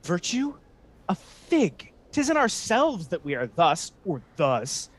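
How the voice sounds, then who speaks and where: A man's voice: high voice, huge pitch swings, slow, full character voice. A man playing a scheming, resentful Venetian ensign in a Shakespeare radio play, persuasive and theatrical. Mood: emphatic persuasion.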